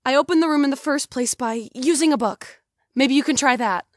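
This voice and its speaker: A woman's voice: high-pitched, fairly quick, no echo, close to an everyday voice. A young woman playing a bewildered, earnest teenage girl from the past in a hobby audio drama. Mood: anxious, relieved. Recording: music playing behind the voice.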